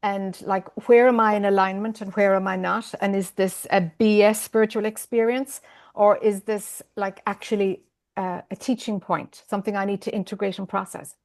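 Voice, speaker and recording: A woman's voice: mid-range voice, steady tone, normal speed, darkish tone. A woman in her fifties with an Irish accent, a spiritual teacher talking candidly and rambling a little as a guest on a video call interview. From Ireland. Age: 50s. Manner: rambling, candid, laughs often. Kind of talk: conversational interview.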